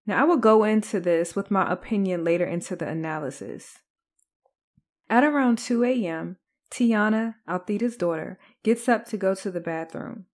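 A woman's voice: medium pitch, lively intonation, normal speed. A woman podcast host delivering scripted true crime narration.

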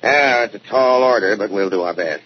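Old radio office guy, acted, lowish voice, polite and helpful, bit hesitant, muffled. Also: normal speed, close to an everyday voice. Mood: obliging, hesitant. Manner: polite, accommodating. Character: obliging, mildly hesitant phone company official. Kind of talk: 1940s radio acting. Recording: muffled old radio recording, faint noise.

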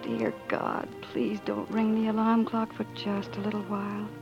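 An American actress playing a proud, plain-spoken young working-class bride, tender yet defiant, in 1940s radio drama style. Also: female voice, medium pitch, flat delivery, normal speed, gravelly, music in the background, lightly acted. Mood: tender, wistful. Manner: emotional, plain-spoken, emphatic. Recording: muffled 1940s radio broadcast.

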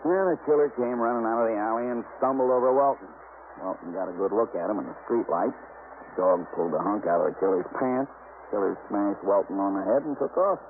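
An American man playing a beleaguered New York homicide lieutenant in a 1950s radio drama, gruff, grudging and defensive. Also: fairly low pitch, animated, a little fast, natural voice. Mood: grudging report. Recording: faintly noisy, narrow-band 1950s radio recording.

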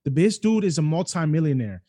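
A man's voice: fairly high pitch, a little fast, a crowd in the background. A middle-aged man hosting a podcast, talking casually and emphatically, grown up in New York City and Cleveland. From New York City and Cleveland, Ohio. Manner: emphatic, animated storyteller. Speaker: podcast host. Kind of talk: casual interview chat.

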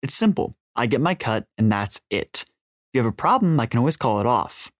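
A man's voice: medium pitch, very animated, a little fast, no room sound, clean studio sound, natural voice. A man voicing a brash, needling drug smuggler with an Australian accent. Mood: annoyed.

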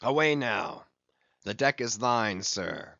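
Guy doing a grim old sea captain, stern and bossy, slow and heavy, dull dry sound. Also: on the low side, lively intonation, creaky, bright, forceful tone, no room sound, exaggerated voice. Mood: commanding. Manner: stern, commanding, brooding. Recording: dull lo-fi audiobook recording.

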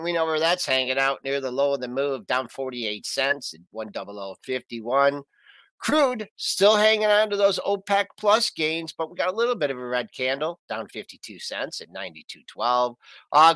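Host, male, bright and animated voice, brisk price rundown, dry booth. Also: fairly high pitch, dry recording. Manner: brisk, lively ticker rundown. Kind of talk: reading market prices.

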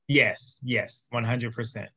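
A man speaking as a podcast guest in a casual interview, telling animated stories. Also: mid-range voice, takes it slowly, husky. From United States. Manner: animated storyteller, acts out quotes.

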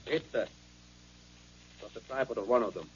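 A man's voice: medium pitch, moderate pace, lightly acted. An actor playing a soldier spotter who gives short, clipped reports to his officer. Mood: reporting. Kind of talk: 1930s radio drama acting. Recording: dull 1930s radio recording, faint noise.

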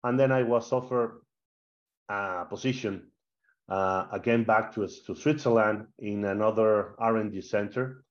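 A middle-aged Spanish-accented man with a lowish voice tells stories as an interview guest, animated and full of fillers and restarts. His audio sounds dull and a little lo-fi. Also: measured pace. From Spain. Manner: animated, with frequent fillers and repeated words. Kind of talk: casual interview storytelling.

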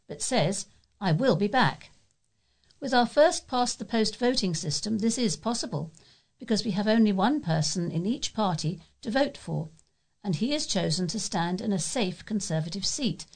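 A woman reads out letters for a local talking newspaper as a volunteer. Her pitch sits in the middle for a woman, and there is a clear gravelly fry in her voice. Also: a little mellow. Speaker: volunteer newsreader. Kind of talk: reading readers' letters aloud for a talking newspaper.

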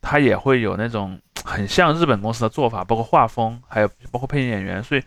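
A man's voice: medium pitch, lively intonation, fast talker, a bit bright, dry recording, clean studio sound. A man co-hosting a casual discussion podcast, explaining step by step and rephrasing as he goes. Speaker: podcast co-host.

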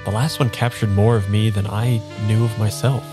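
Audio drama lead for a male actor: apologetic, uneasy man with no memory, lowish voice, halting delivery, music bed. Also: steady tone, close to an everyday voice. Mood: quiet wonder. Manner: halting, repeats himself, hesitant. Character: uneasy amnesiac man, apologetic and wistful, narrating his search. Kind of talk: improvised audio drama, first-person narration and dialogue.

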